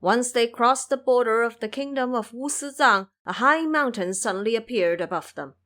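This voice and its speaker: A woman reading scripted audio drama narration as an expressive storyteller. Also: a little high, normal speed.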